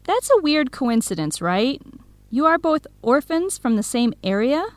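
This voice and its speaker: A young woman playing a supportive, excitable best friend in an educational radio drama, eager, expressive and full of questions. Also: high-pitched, unhurried, no room sound. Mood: curious. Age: young adult.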